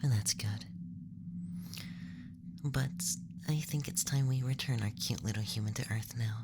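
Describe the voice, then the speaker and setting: A man playing a gentle, apologetic alien examiner in soft-spoken, often whispered ASMR roleplay, close to the microphone. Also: medium pitch, a little slow, heavy background noise, natural voice. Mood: calm, gentle. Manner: hushed, often whispering, soothing. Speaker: ASMR performer.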